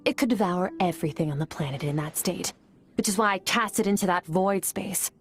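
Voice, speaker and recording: Aloof warrior woman , voiced by an actress: lowish, even and measured, coolly detached, faint music behind. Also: natural voice. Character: aloof powerful woman warrior, cool and composed. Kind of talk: video game voice acting.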